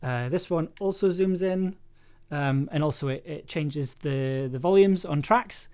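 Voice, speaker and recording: A man with a slightly high voice talks casually to a livestream while he works on audio. He rambles in an enthusiastic way, often repeating a word or restarting before he gets to the end of a thought. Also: darkish tone. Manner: halting, rambling, with repeated words and trailing thoughts. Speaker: audio drama maker livestreaming an editing session.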